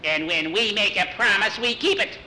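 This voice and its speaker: A man's voice: high voice, moderate pace, sharp tone, slight background noise, voice pushed up. A man voicing a crafty old witch from a storybook, haughty and gleeful, with exaggerated delivery. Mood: haughty scolding. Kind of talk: storybook character voices.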